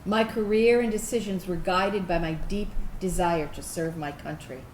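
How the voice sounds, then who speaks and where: A woman's voice: steady tone, normal speed, darkish tone, close to an everyday voice. A woman giving a scripted staged reading as a proud LGBT veteran looking back on service. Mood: earnest. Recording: echoey room, low rumbling noise.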